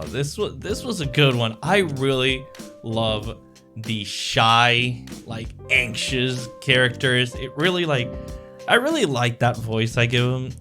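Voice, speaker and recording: A man hosting his audio channel, chatting casually to viewers in a rambling, self-interrupting way. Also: mid-range voice. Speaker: audio roleplay creator and channel host.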